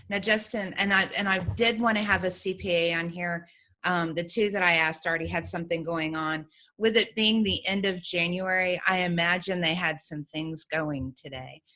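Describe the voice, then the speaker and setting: A woman's voice: fairly low pitch, sharp tone. A woman hosting a conversational business interview, asking questions of her guests. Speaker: host.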